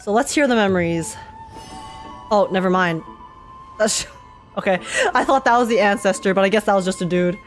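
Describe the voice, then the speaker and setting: A woman's voice: mid-range voice, moderate pace, pauses often. A woman streaming a game with casual, slangy, joking live commentary and the odd swear word. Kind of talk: casual live commentary, reading dialogue aloud. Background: game music.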